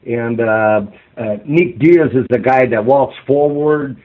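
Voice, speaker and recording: A man interpreting live on a phone conference call, speaking in halting, repetitive phrases padded with fillers. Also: mid-range voice. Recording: muffled narrow-band phone line.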